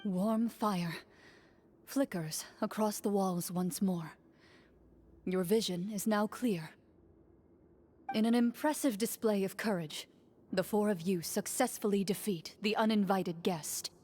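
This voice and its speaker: A woman voicing a game master who narrates a role-play in a measured, formal way in a video game. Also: mid-range voice, darkish tone, a little hiss or hum, lightly acted. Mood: narrating.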